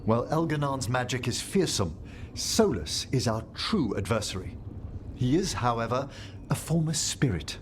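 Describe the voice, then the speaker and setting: An actor voicing a courtly older professor of necromancy in a video game, speaking in formal, eloquent, philosophical phrases. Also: male voice, mid-range voice, big pitch swings, normal speed, slight background noise, lightly acted. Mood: solemn, fond. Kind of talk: video game character acting.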